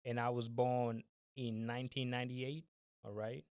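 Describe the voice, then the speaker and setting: A young man from Kenya speaks as a podcast guest, polite and measured, with a formal courtesy. His pitch stays level and flat. The recording is close and dry. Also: clear, resonant tone, no echo. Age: 20s. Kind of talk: casual interview.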